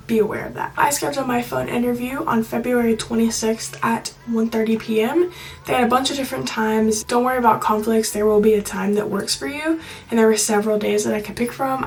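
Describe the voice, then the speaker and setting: A woman's voice: fairly high pitch, recorded from a distance, rough recording. A young woman talking in a chatty, reassuring, informal way, giving casual advice to viewers. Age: young adult. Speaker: video blogger.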